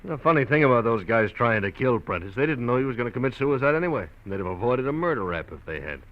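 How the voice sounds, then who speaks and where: An actor playing an easygoing police desk sergeant in a 1950s radio drama, talking in a casual, offhand way. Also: male voice, medium pitch, expressive, fast, highs cut off, close to an everyday voice. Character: easygoing middle-aged male police sergeant.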